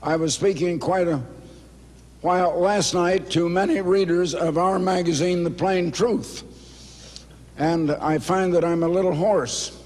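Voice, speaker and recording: A man in his eighties, hoarse, giving a formal speech at a banquet in slow, emphatic phrases. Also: sits high, fairly even intonation, a little mellow. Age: 80s. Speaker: speaker at a banquet. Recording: reverberant banquet hall.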